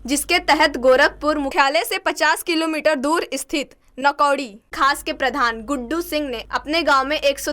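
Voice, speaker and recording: A woman news presenter with a distinctly high voice. She reads a scripted report in a narrating news style. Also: a bit bright.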